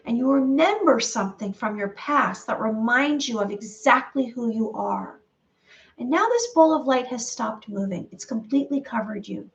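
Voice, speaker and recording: Soft-paced woman guiding a meditation. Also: a little high, animated, vocal fry, distant mic, dull audio. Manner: slow, repetitive, soothing guidance with pauses. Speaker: meditation guide. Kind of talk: guided visualization meditation.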